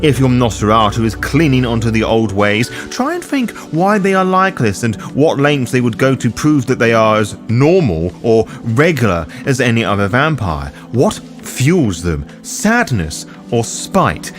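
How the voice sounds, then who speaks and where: A man's voice: medium pitch, very animated, unhurried, hardly any pauses, faint music behind. A man hosting a game guide, reading scripted explainer narration with a wry, casual manner. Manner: wry, casually sweary asides. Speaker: host of a roleplaying game guide.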